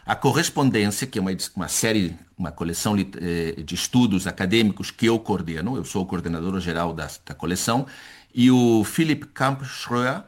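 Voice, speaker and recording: Lower-voiced man, hesitant and thoughtful. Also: expressive, brisk. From born in Chile to Brazilian parents. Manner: thoughtful, hesitant, with many restarts and repeated words. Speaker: professor and interview guest. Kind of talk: conversational interview.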